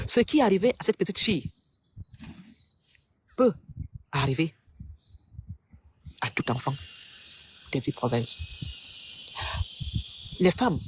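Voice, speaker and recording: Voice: medium pitch, slight background noise. A speaker from Cameroon delivers a preachy, rhetorical political monologue to the public. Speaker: political commentator.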